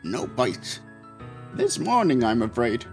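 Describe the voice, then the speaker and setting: Old fatherly game animal, folksy, slow-paced, animated intonation, faint music. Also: medium pitch, heavily acted. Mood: wistful.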